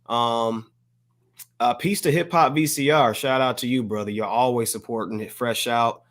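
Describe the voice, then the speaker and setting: An American man co-hosting a podcast, giving casual shout-outs in an earnest, measured way that mixes formal phrasing with slang. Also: medium pitch. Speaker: podcast co-host.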